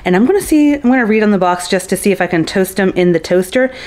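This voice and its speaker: A woman's voice: mid-range voice, animated, fast talker, a little mellow. A middle-aged woman talking casually and informally to camera in a home kitchen, thinking aloud as she narrates a grocery haul. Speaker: vlogger, host of a grocery haul.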